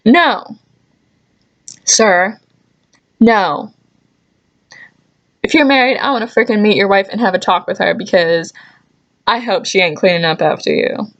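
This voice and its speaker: A woman's voice: mid-range voice, unhurried, long pauses, dull audio. Young woman griping through casual stories. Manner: animated exasperated griping with sarcastic asides and mild swearing. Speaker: storytelling vlogger. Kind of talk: casual anecdote vlog, chatty.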